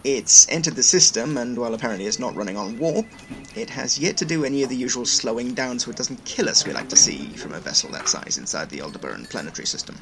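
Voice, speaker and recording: An actor playing a brisk, wordy station logistics manager in a sci-fi audio drama, explaining things with dry wit. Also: male voice, mid-range voice, very animated, vocal fry, fairly bright tone, rough recording. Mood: dry, matter-of-fact. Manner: chatty, wry, long-winded explanations.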